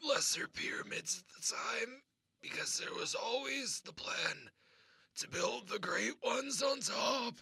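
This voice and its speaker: A man playing an ancient, feeble but proud and sinister old man in a comedy sketch, with a breathy, creaky voice and a halting delivery. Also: very high voice, animated, bright, forceful tone, no echo, exaggerated voice. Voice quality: husky, breathy, whispery, creaky. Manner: halting, drawn-out delivery in short phrases. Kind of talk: comedy sketch interview acting.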